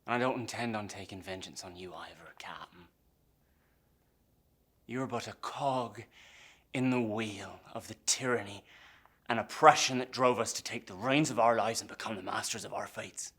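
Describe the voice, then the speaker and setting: Embittered pirate mutineer, male actor, stage monologue. Brooding and defiant, menacing when hushed, impassioned when roused. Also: on the low side, normal speed, breathy edge. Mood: controlled menace. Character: embittered, defiant English pirate mutineer, a former pressed sailor. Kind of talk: theatrical dramatic monologue.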